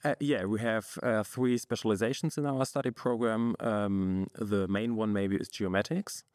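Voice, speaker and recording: A young man, a master's student guest answering in a relaxed, hesitant way with many fillers. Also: fairly low pitch, normal speed, dry recording, studio quality. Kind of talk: podcast interview answers.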